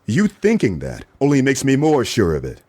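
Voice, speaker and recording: Man as a husky, suspicious private detective. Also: medium pitch, huge pitch swings, moderate pace, darkish tone, dry recording. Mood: defiant. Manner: incredulous, accusing. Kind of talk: comic radio play acting.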